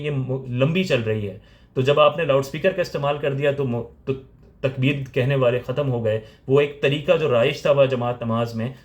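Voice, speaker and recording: A man with a Pakistani accent explaining religious points step by step, like a teacher giving a lecture. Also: medium pitch.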